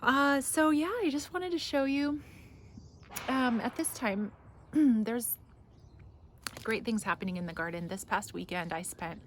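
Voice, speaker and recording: A woman's voice: on the high side, measured pace, long pauses. Enthusiastic woman vlogging outdoors, noisy ambience. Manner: enthusiastic, gushing. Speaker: garden vlog host. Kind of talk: casual rambling vlog chat. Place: outdoors in a home garden.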